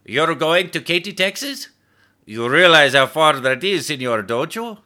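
An excitable cab driver with a thick Spanish accent gushes along in a raised, lively voice, as a male narrator puts him on. He is talkative and eager, with a bright, ringing tone. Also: on the high side, a little slow, pitched above the performer's own voice, heavily acted. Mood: excited.

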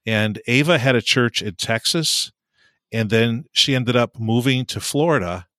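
A man's voice: medium pitch, a little slow, bright, forceful tone, no room sound. A man co-hosting a podcast in a casual, chatty, jovial and teasing way. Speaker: podcast host.

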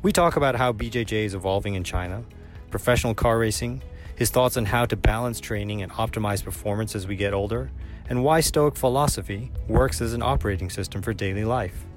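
A man hosting a podcast, introducing the show and his guest in a measured, scripted way. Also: fairly low pitch. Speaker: podcast host. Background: faint music.

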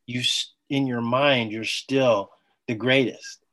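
A man, a former athlete, talking as a guest on an interview podcast, telling his story with enthusiasm in quick bursts. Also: mid-range voice, steady tone, a bit bright. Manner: enthusiastic, talks in bursts, acts out quoted dialogue.

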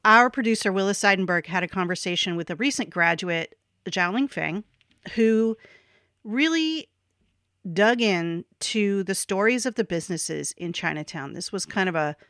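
A woman's voice: mid-range voice, animated, normal speed, fairly bright tone, no echo, clean studio sound. Enthusiastic woman co-hosting a podcast. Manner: enthusiastic, conversational. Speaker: podcast co-host. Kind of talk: scripted podcast intro.

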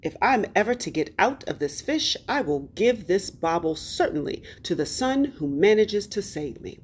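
A woman's voice: mid-range voice, big pitch swings, moderate pace, slight background noise, lightly acted. A woman storyteller voicing a trickster spider father from a folktale in a pleading, grandly dramatic manner. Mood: resolute.

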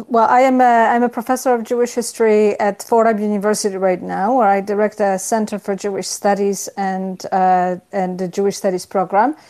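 A middle-aged woman from Poland with a Polish accent, a professor giving a conversational academic interview in a thoughtful, halting, self-correcting way. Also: medium pitch, darkish tone. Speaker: history professor, interview guest.

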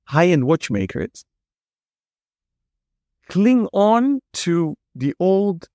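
A man's voice: high-pitched, very animated, drawn-out words, warm tone, dry recording, clean studio sound. A man with a Dutch accent hosting a podcast, asking eager questions in casual interview chat. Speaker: podcast host.

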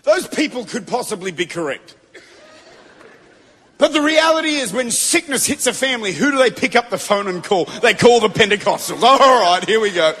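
A man preaching with a very high, bright, tense voice that carries a husky edge. He is fervent, rising into shouted declarations. His voice rings with the long reverb of a large church hall. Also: animated, normal speed. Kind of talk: Pentecostal sermon. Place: large church before a congregation.

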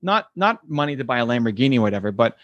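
A man's voice: sing-song, very fast, a bit bright, no room sound, lo-fi sound. Earnest man, dry close sound. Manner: earnest, acts out quoted voices with rising pitch. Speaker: podcast guest. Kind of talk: reflective conversational interview answers.

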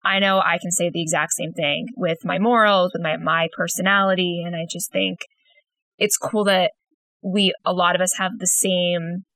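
Lower-voiced young woman co-host. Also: level tone, normal speed, fairly bright tone, dry recording, low-quality recording. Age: 20s. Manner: gushing, upbeat, lots of fillers. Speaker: podcast co-host. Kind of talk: casual podcast interview.

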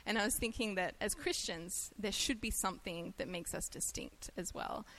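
An Australian woman delivering a sermon in a relaxed, conversational way. Her voice sits at an ordinary middle pitch, and she laughs easily at herself between points. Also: normal speed, a little hiss or hum, poor audio. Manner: chatty and self-deprecating, laughs easily. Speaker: guest preacher.

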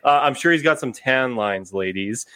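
A man's voice: medium pitch, animated, normal speed, a crowd in the background. A man hosting a podcast in loose, joking banter, often stumbling over his words. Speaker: podcast host.

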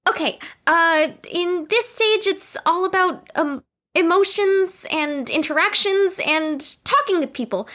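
An actress playing a naive, earnest young student in an audio drama, rambling in simple words. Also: female voice, very high voice, measured pace, pushed and bright, heavily acted. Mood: earnest, unsure.

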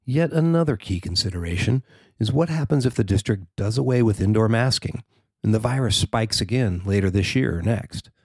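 An American man narrates a written news story in a fairly low voice. The sound is very dry and close, with no room around it, and the delivery is that of a reporter reading copy. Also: expressive, a little fast, a little mellow, slightly rough, no room sound.

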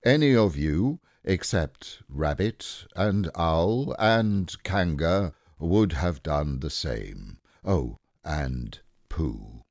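A man's voice: extremely low pitch, lively intonation, extremely slow, hardly any pauses, a little mellow, no echo, studio quality, exaggerated voice. A man voicing a gloomy, self-important donkey who speaks in a pompous, stern, ponderous manner.

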